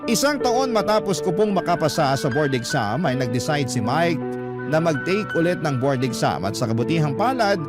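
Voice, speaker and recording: Male radio storyteller, slightly high-set voice, measured letter reading in Tagalog, loud music bed. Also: big pitch swings. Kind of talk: radio-style scripted love-story narration. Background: prominent music.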